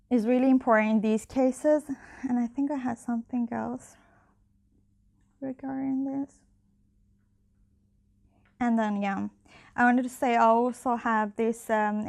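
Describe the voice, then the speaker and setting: A woman's voice: on the high side, a little mellow. A young woman candidate answering interview questions in English, not her first language, with many fillers and restarts. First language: not Icelandic. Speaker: election candidate.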